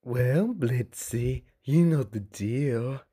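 A woman voicing a lewd, needy male demon prince in a comic fan dub, with a drawn-out, suggestive delivery. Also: a bass voice, lively intonation, warm tone, slightly rough, exaggerated voice. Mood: flirty.

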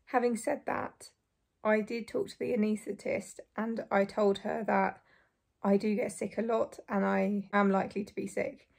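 A young woman in her twenties, hoarse and croaky after surgery, chatting casually to camera from a small bedroom. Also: medium pitch, level tone, unhurried, a little mellow. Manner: chatty, relieved, frequent light laughs. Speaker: vlogger. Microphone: phone or camera close in front.

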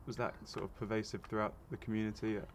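A young man interviewing softly in a sunny garden, prompting with short murmurs. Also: lowish voice, little pitch movement, quick pace, a little hiss or hum. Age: young adult. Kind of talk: gentle interview questions.

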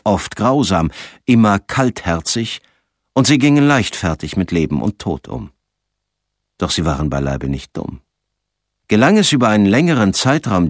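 A man with a very low voice narrates a science fiction novel. His delivery is measured, in the manner of an audiobook storyteller. The recording is dry and close, free of room sound. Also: no echo.

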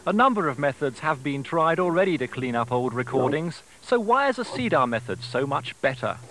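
A man's voice: medium pitch, big pitch swings, brisk, fairly bright tone, rough recording. A man with an English accent reading scripted narration as a television news reporter. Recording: music behind, home off-air TV recording.

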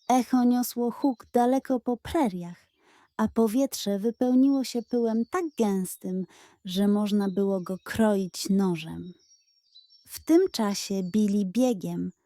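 A woman narrating a children's audio story in a dramatic, measured storytelling manner. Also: medium pitch, warm tone, no room sound.

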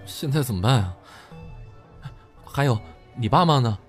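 A man plays a worried, chatty young student in an audio drama. His medium-pitched voice moves up and down a lot, with faint music underneath. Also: lively intonation, moderate pace, natural voice.

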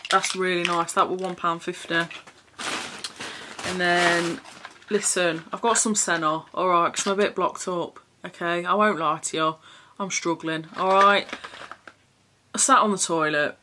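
A woman's voice: fairly low pitch, steady tone, a little slow, sharp tone, slight background noise. Chatty frank woman vlogging at home. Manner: chatty, frank, swears casually. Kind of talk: casual haul chat to camera. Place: small bedroom indoors.

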